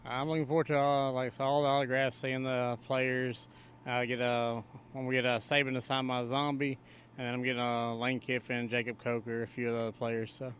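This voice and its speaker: A man, a sports fan, giving halting, plain-spoken answers in a casual interview. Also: mid-range voice, little pitch movement, a bit bright, a little hiss or hum.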